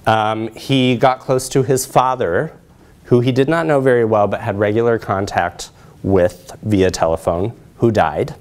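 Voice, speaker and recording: Male academic presenter, middle-aged, on the low side, measured and explanatory delivery in a lecture room. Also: a little mellow. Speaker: presenter, social work researcher.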